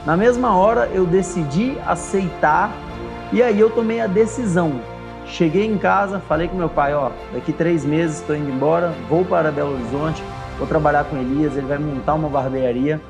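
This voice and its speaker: A man's voice: on the high side, moderate pace. A man in his thirties, a barbershop owner, telling his personal story in an earnest, reflective way. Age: 30s. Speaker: barbershop owner and course creator. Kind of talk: scripted personal story narration. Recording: faint music underneath.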